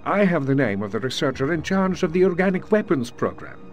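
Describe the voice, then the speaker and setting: An actor playing a sly KGB agent in a game, formal and poetic, with a Russian accent. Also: male voice, on the high side, very animated, a little fast, faint music behind. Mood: conspiratorial. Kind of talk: video game cutscene acting.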